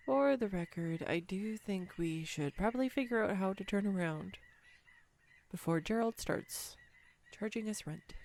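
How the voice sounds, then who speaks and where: An unhurried, fairly low voice for a woman acting as an awkward young woman on a date. She is earnest, apologetic and sweetly self-deprecating, speaking intimately to one listener in a romantic audio roleplay. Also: darkish tone. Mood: playful.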